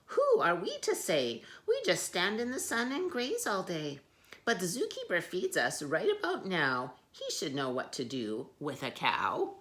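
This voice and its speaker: A woman voicing friendly, easygoing zebras in a storybook, high and cheerful. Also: wildly swooping pitch, measured pace, fairly bright tone, full character voice.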